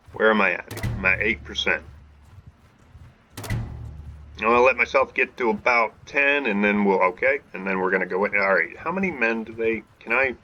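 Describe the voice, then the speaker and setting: A man's voice: on the low side, bright, forceful tone, highs cut off. A man casually commentating his gameplay as a channel host, thinking aloud with laid-back restarts and fillers. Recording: game music playing under the voice. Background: game music.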